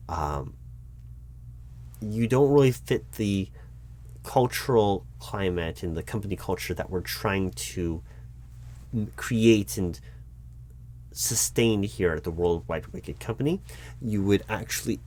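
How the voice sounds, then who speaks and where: A man doing a roleplay as a job interviewer, talking in a deliberate, dry way with frequent ums. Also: on the low side. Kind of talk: scripted ASMR roleplay.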